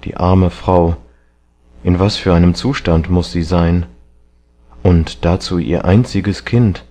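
Man acting a low, sorrowful, earnest painter. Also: steady tone, slow. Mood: worried, compassionate. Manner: hushed, strained, earnest. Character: grieving, reproachful male painter, earnest and gentle.

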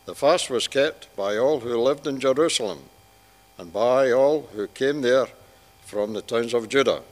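A man reading Bible scripture aloud to a church service in measured, separate phrases. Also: medium pitch. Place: large church hall. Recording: long church hall reverb.

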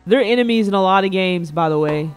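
Young man, high-pitched voice, casual livestream commentary, slangy, bantering, faint music underneath. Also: fast talker. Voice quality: slangy, informal speech. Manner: playful, bantering with chat. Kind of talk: casual reaction stream commentary. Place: indoor room at a streaming setup. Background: faint background music.

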